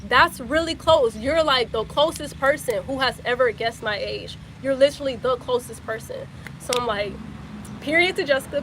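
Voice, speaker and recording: Young woman, early twenties, slangy and repetitive chatter, slightly high voice, phone mic with faint street noise. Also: fairly bright tone, rough recording. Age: 20s. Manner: chatty, repeats phrases, slangy. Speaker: livestreamer. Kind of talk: casual live chat with viewers.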